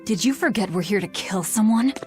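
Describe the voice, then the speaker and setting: A woman's voice: mid-range voice, lively intonation, a little fast, soft background music, natural voice. A woman playing a gloomy, hard-bitten young assassin in a video game, curt, blunt and scornful. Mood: irritated, scornful.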